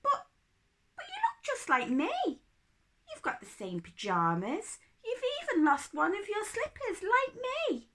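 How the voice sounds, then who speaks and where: A curious young storybook girl, put on by a woman reader, earnest and easily astonished. Her voice is pushed up high and bright, with an inquisitive, swooping lilt. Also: huge pitch swings, measured pace, heavily acted. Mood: amazed, curious. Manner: wide-eyed, inquisitive.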